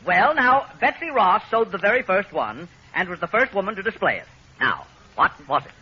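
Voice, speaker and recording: High-pitched, flustered quiz host. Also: male voice, sing-song, normal speed, sharp tone, husky, heavily acted. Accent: American. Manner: formal announcer delivery, exasperated politeness. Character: excitable, flustered male quiz show host. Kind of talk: 1940s radio comedy acting. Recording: dull, noisy old radio recording.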